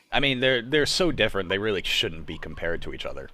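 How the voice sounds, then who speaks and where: A man's voice: lowish voice, big pitch swings, normal speed, pushed and bright, dry recording. A young adult man hosting a casual, informal group chat on a livestream, speaking loosely with the odd swear word. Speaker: host of a livestream show.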